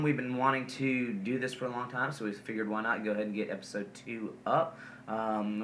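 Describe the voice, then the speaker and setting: A man's voice: on the low side, a bit bright, distant mic. Guy cohosting a chill podcast, kinda rambly, lots of ums, roomy echo and a bit of hiss. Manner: rambling, many ums and uhs. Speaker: podcast co-host. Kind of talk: casual chat about wrestling. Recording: echoey room, faint noise.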